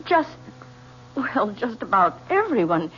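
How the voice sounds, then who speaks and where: A middle-aged married mother, composed on the surface and shaken beneath. Her voice has a medium pitch, and she acts in old-time radio drama style. The recording is dull, with hum behind her. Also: big pitch swings, slow pace. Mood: guarded, polite.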